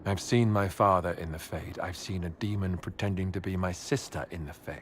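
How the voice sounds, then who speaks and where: A man's voice: deep voice, a little fast, slight background noise, natural voice. An actor playing a world-weary, practical and wary male hero in a fantasy video game. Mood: uneasy, puzzled.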